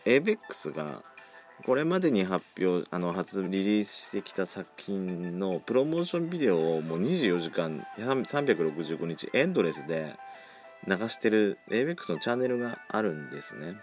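Chatty low-voiced man hosting a podcast. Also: big pitch swings, brisk. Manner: chatty, campy feminine phrasing. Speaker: podcast host. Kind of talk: casual solo chat. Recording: faint background music.

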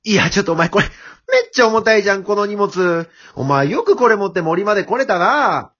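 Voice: mid-range voice, lively intonation, a little fast, fairly bright tone, muffled recording. An amateur radio drama actor playing a hapless, blustering male wolf who whines and retorts in exasperation. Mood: menacing, exasperated. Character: hapless male wolf, blustering villain turned put-upon porter.

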